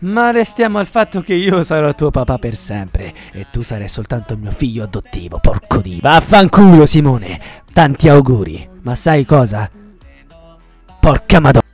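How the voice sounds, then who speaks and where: A man's voice: medium pitch, very animated, moderate pace, darkish tone, faint music behind. A man recording a crude joking message, swearing in a mock-paternal manner.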